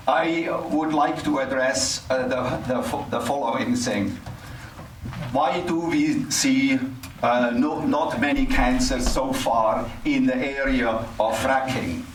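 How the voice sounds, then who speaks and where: An older man giving a formal, scholarly prepared address at a public meeting, speaking haltingly. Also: medium pitch. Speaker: resident speaking at public comment, cancer researcher. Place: community center meeting hall. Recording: roomy, distant mic, faint noise.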